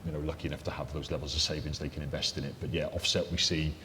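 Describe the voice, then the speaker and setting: A man's voice: rumbling low, level tone, racing, pushed and bright. A man speaking as a lender on a mortgage panel, talking conversationally with many fillers and restarts. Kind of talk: panel discussion. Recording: echoey hall, faint noise.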